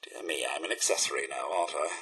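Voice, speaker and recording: An actor playing a fussy, loyal robot butler in a video game, with a British accent, a slightly raspy voice and a prim, polite, theatrical delivery. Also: male voice, high-pitched, huge pitch swings, a little slow, bright, forceful tone, heavily acted. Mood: dryly teasing. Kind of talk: video game companion voice lines.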